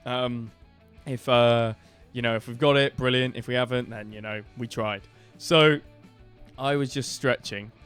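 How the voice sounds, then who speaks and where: Booming-voiced man, rambling. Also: steady tone, measured pace, fairly bright tone, clean tone, soft background music. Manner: rambling storyteller, many fillers and restarts. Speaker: band member co-hosting a podcast. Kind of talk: casual chat.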